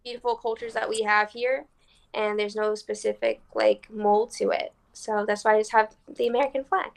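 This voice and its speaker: A woman's voice: medium pitch, expressive, normal speed, vocal fry. A woman on a casual panel, speaking hesitantly with fillers.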